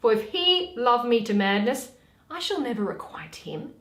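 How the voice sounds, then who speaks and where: A woman playing a witty, weary young noblewoman in a dramatic, deliberate reading of Shakespeare. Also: on the high side, sing-song, fairly bright tone. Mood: mocking. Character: Portia, witty weary young noblewoman, mocking her suitors. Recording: echoey room.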